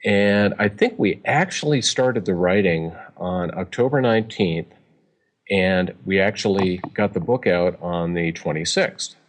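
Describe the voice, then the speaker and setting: Male guest, middle-aged, very low pitch, loose rambling storyteller. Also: a little slow. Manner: rambling, many fillers. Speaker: guest author. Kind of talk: casual interview chat.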